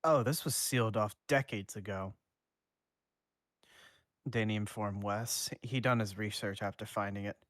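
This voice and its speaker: A man's voice: fairly low pitch, fairly even intonation, a little slow, long pauses, fairly bright tone, no room sound, studio quality. A man streamer reading fan fiction aloud with a cohost, laid-back and swearing casually.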